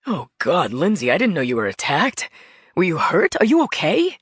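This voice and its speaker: A woman reading an audiobook, voicing a secretive husband who softly apologizes and pleads to be trusted. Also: on the low side, huge pitch swings, normal speed, breathy edge, no echo, studio quality, full character voice. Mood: worried. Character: troubled husband, middle-aged man, pleading and secretive.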